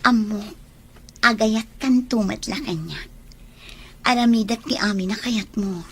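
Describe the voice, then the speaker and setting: A woman's voice: medium pitch, unhurried, a bit bright, a little hiss or hum. A woman acting a coaxing, demanding young girlfriend in an audio drama, with an Ilocano accent. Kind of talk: amateur Ilocano radio-style comedy drama acting.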